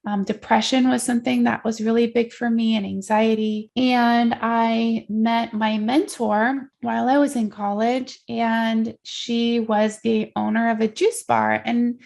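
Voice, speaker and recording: A woman's voice: a little high, level tone, a little slow, gravelly, few pauses, a little mellow. A woman from the Netherlands, a life coach guest, talking casually and reflectively in an interview.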